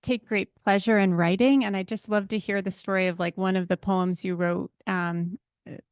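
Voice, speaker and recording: A woman in the audience asking an earnest question, her voice clear yet gravelly with fry. Dry sound close to the mic. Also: mid-range voice, fairly even intonation, normal speed, no room sound.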